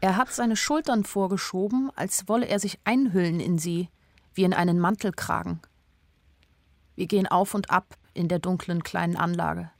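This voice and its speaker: Young female narrator, anxious, introspective, plain delivery, lowish pitch, dry studio, radio drama. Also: moderate pace, creaky, no room sound. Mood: sober narrating. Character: anxious young woman narrating her own story.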